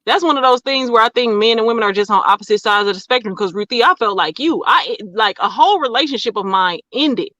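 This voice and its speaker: A podcast host talks in loose, rambling sentences full of fillers. The voice is bright and sits dry and close on the mic. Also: medium pitch, animated, fast talker, few pauses, no echo, lo-fi sound. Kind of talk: casual chat.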